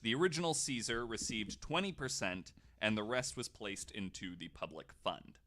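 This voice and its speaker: Male podcast host, lower register, crisp bright edge, loose comedic storytelling with wry asides. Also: expressive, moderate pace. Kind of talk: casual comedic storytelling from notes.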